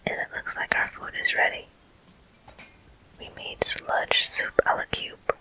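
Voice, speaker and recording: A person whispering ASMR commentary over a video game walkthrough in a hushed, unhurried, explanatory way, pausing between short phrases. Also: rough recording. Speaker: gameplay host.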